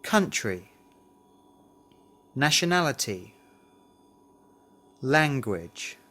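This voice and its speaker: Male language-course reader, slow and careful delivery, lively intonation. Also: medium pitch. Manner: deliberate, carefully enunciated model sentences. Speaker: language lesson presenter reading words and answers. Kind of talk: scripted language drill, read aloud.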